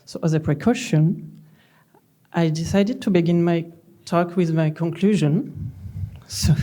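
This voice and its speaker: French-accented woman, middle-aged, conference speaker at a lectern; slow, relaxed delivery, dry humor. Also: on the low side. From France. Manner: slow, calm, gently humorous. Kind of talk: conference talk. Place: large conference hall, on stage at a lectern.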